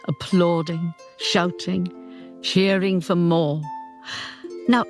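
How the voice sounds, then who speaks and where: A brash, faded showbiz diva in her late sixties played by an actress holds forth slowly and theatrically. She is proud and earthy, in radio drama style, with some music behind her. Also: medium pitch, a little mellow, natural voice. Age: older. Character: brash, proud faded female singing star, aged sixty-nine. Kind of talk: radio play acting.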